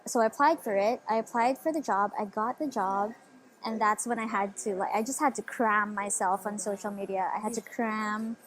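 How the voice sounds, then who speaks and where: A woman's voice: medium pitch, normal speed, rough recording. A woman in her twenties from the Philippines, telling her story eagerly in a casual interview, with lots of "like" and "you know". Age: 20s. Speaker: interview guest.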